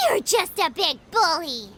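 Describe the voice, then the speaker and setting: A woman voicing a tiny childlike fairy companion in an animated video game, with a squeaky, earnest, chirpy delivery over music. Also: a little hiss or hum, full character voice. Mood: indignant. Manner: refers to self in third person, earnest. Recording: loud game music behind.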